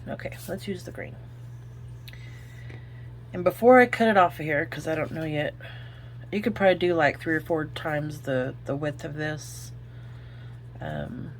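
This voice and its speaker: A woman with an Oklahoma accent hosts a relaxed crafting tutorial, talking through each step and thinking aloud as she works. Her voice sits low with a gravelly edge, over a faint noise in the background. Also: normal speed. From Oklahoma, United States. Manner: chatty, thinks aloud while working. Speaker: craft video host.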